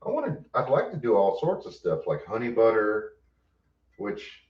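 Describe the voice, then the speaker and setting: A man's voice: mid-range voice, wildly swooping pitch, moderate pace, distant mic. A man co-hosting a homestead livestream, casual and teasing. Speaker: homestead channel co-host. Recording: roomy, dull livestream audio.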